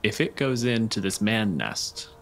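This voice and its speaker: A man's voice: lowish voice, very animated, unhurried, fairly bright tone, a little hiss or hum. A man casually refereeing a tabletop game as the game master.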